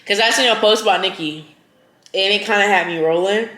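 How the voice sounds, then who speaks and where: A woman's voice: mid-range voice, brisk, fairly bright tone. Cousin guest: a woman who talks casually and holds her ground, in an echoey room. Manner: conversational, argues her point firmly. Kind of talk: casual chat.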